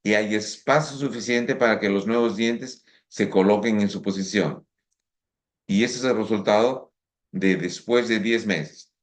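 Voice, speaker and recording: Low-voiced man lecturing with halting restarts. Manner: halting, frequent restarts and fillers. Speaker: orthodontist lecturer. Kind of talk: conference lecture. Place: conference hall.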